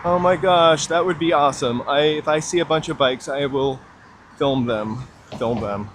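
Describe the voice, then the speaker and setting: A man's voice: on the high side, moderate pace, fairly bright tone, a little hiss or hum. A man chatting casually to viewers as he walks city streets, greeting them by name and pointing out sights. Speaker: live-stream walking vlogger. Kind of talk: casual live-stream chat to viewers. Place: outdoors on city streets, walking. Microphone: handheld gimbal camera mic.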